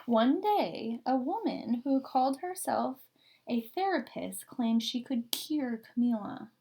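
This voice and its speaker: A woman with a fairly high voice reads a picture book aloud. Her pace is very slow and deliberate, and she stretches key words expressively for young listeners. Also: expressive, mellow, slight background noise. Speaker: story reader. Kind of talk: expressive children's read-aloud.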